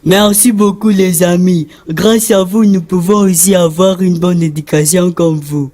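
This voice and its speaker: A man's voice: sits high, steady tone, unhurried, a little hiss or hum. A man voicing a naive abandoned street boy and petty thief in a cartoon. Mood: earnest, grateful. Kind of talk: French-language cartoon dialogue. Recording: loud music behind the voice. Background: music.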